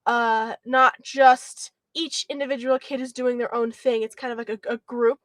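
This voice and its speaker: A young woman who was homeschooled, a guest in an interview, speaking haltingly with many fillers and restarts. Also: a little high, fairly even intonation, no room sound. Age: young adult. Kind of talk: conversational interview.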